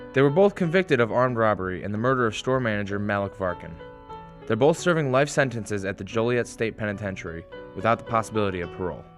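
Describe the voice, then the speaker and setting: A man reading scripted dramatic narration as the narrator of a radio play. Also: mid-range voice. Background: music.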